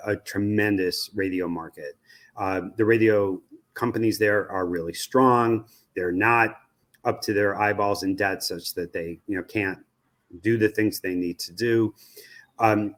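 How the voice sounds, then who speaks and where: Male interview guest, American, research executive type, analytical talk in a lowish register. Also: moderate pace. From United States. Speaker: interview guest, radio research company president.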